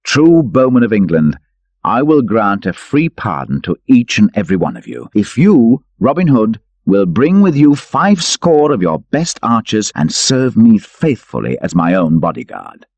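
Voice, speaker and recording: A man's voice: lowish voice, very animated, a little slow, a little mellow, no room sound, pitched above the performer's own voice, heavily acted. A man voicing a commanding, magnanimous warrior king in an audiobook, with a regal, declamatory manner. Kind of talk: dramatic audiobook character voice.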